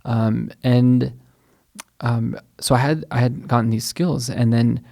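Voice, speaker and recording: A man's voice: mid-range voice, steady tone, slow, vocal fry. A middle-aged man from the United States, a guest scholar talking casually in a podcast interview, hesitant and full of restarts. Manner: soft-spoken, hesitant, many restarts. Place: mosque studio.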